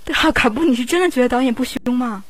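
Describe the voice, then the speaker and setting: Husky, higher-pitched woman chatting. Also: fast. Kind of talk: casual chat.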